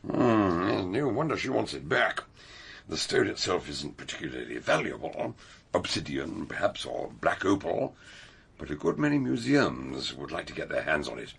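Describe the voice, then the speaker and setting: An actor playing a learned elderly detective doctor in a radio drama, genial and shrewd, with a ponderous, scholarly manner. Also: male voice, low voice, sing-song, normal speed, gravelly, a bit bright, breathy edge, heavily acted. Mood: scholarly musing. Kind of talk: radio drama acting with first-person narration.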